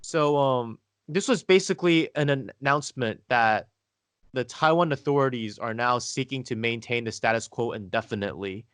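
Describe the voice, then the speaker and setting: A man leads a podcast conversation, laying out history step by step in a steady, explaining voice. He speaks close to the mic in a dry room with no echo, and his pitch sits in an ordinary middle range. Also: normal speed, clear, resonant tone. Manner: explanatory, steady, asks leading questions. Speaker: podcast host.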